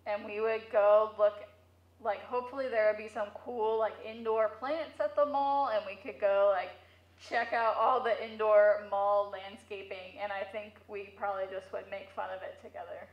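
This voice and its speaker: A woman with a mid-pitched voice chats casually as an interview guest. She speaks through a megaphone, so the sound is dull, with strong room echo. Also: fairly even intonation, normal speed. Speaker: museum curator, interview guest.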